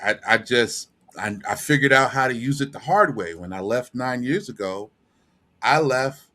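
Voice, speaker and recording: A man with a medium-pitched voice talks in a casual, informal way. His delivery is that of someone in a podcast conversation. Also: fairly bright tone. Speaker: podcast participant, expat.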